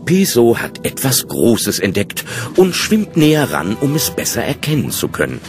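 A man's voice: medium pitch, huge pitch swings, normal speed, music in the background. A man narrating a children's audio drama as its storyteller.

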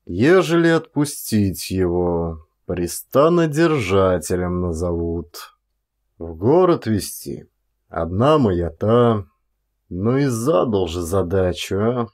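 A man's voice: deep voice, expressive, slow, darkish tone. A man voicing a reluctant, kind-hearted rustic forest watchman who grumbles in a folksy drawl in an audiobook story. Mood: musing aloud.

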